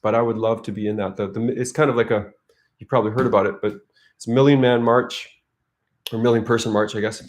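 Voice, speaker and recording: A man's voice: on the low side, fairly even intonation, fast. A man hosting a casual livestream, chatting loosely and reading comments aloud. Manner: loose, chuckling, reads chat aloud. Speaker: livestream host.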